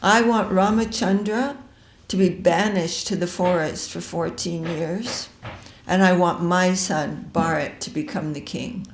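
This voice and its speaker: A woman voicing an excitable, gullible queen who exclaims in disbelief. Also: on the low side, measured pace, a little hiss or hum. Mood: demanding. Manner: exclaiming, incredulous. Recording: echoey room.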